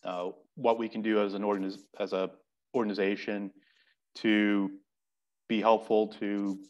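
A man's voice: lowish voice, flat delivery, measured pace, darkish tone, dull audio. A middle-aged man, a podcast guest, explaining step by step in a thoughtful, conversational way over a video call from a home office. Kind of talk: conversational interview answers.

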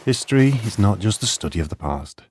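A dry, formal British archaeologist turned schoolteacher, played by a man, with a lively, swinging pitch. His wit is wry and his manner a little stiff in this audio drama. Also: brisk, slight background noise. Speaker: history teacher.

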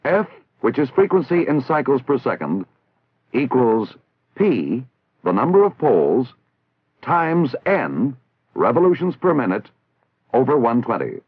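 Casting: American man for vintage army instructional narration, measured and didactic with animated intonation, through tinny old film audio. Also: mid-range voice, husky, no echo. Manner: formal, measured, didactic enunciation. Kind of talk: scripted instructional narration, 1960s military training film. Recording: tinny, band-limited 1960s film soundtrack.

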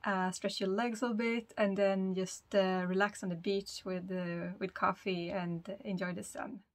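A woman's voice: medium pitch, flat delivery, a little slow, dry recording. A woman in her thirties, a native Swedish speaker, chatting casually to the camera from a sailboat cabin and thinking aloud with many fillers. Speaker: sailing vlogger. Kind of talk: casual vlog talk to camera.

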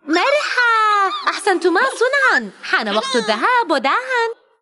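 A woman narrating a scripted educational cartoon for young children in an animated, encouraging, exclaiming way. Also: very high-pitched, normal speed, slight background noise.

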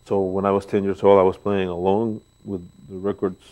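Older man, Mexican Spanish accent, very low voice, storytelling guest, pauses with fillers, lapel mic in a living room. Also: little pitch movement, a little mellow, rough recording. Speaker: guest bass player. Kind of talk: relaxed interview talk. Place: living room, seated on a sofa.

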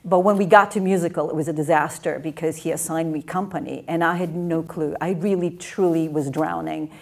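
A woman's voice: low-pitched, fairly even intonation, fairly quick, creaky. A woman in her fifties from Bulgaria, speaking with a Bulgarian accent, telling wry stories on a stage panel into a handheld mic. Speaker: production designer on a panel. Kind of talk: casual storytelling on a panel. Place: theater stage before an audience.

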